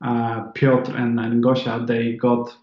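A man's voice: mid-range voice, monotone, slow, roomy sound, dull audio. A man in his thirties from Poland, speaking English with a Polish accent, chatting as an interview guest in a rambling way with many restarts. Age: 30s. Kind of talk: casual interview chat.

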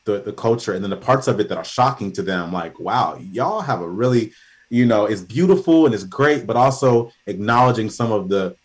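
A man from Ghana chatting casually, telling stories with his voice climbing high as he gets into them. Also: a little fast. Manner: animated storyteller, voice rising high in anecdotes. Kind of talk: casual conversation.

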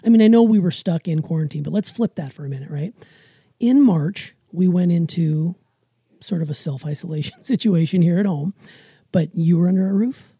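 A woman's voice: lowish voice, brisk, dark tone, dry recording. A middle-aged woman, chatting emphatically and with self-assurance as a podcast co-host. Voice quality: raspy. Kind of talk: casual chat with emphatic opinion.

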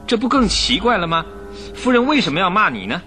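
A man's voice: sits high, big pitch swings, a little fast, pushed and bright, faint music behind, full character voice. An actor playing a cocky, insistent police inspector in a radio drama, emphatic and pressing as he questions. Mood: pressing, suspicious. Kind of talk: Chinese radio drama acting.

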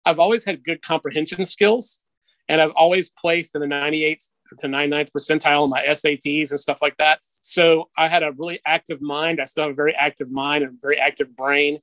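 Higher-voiced middle-aged man, candid and chatty. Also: fairly even intonation, a little fast, fairly bright tone, dry recording, low-quality recording. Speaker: interview guest. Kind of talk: casual conversational storytelling.

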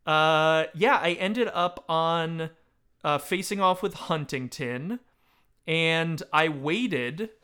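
A man's voice: fairly high pitch, takes it slowly, bright, forceful tone, clear, resonant tone. A man chatting casually about a book as a podcast co-host, joking and self-deprecating. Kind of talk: casual book discussion with read-aloud passages.

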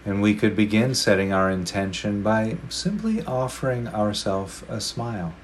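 A man leading a guided meditation, speaking slowly and gently with long pauses between phrases. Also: fairly low pitch, lively intonation, slight background noise. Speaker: meditation teacher.

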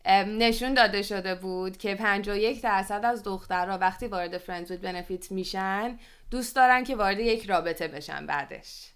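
Female podcast co-host, Iranian Persian accent with English loanwords. Crisp, clean tone, conversational, explains and asks. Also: mid-range voice, fairly bright tone. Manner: explanatory, poses questions to co-host. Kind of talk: conversational podcast discussion, presenting survey figures.

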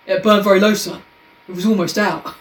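A woman's voice: medium pitch, steady tone, brisk, roomy sound, far from the mic, close to an everyday voice. Woman playing a respectful, plain-spoken male butler.

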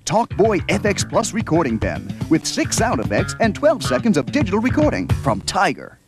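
A man's voice: sits high, huge pitch swings, normal speed. A man reading a scripted toy commercial as the announcer. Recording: TV advert with music bed.

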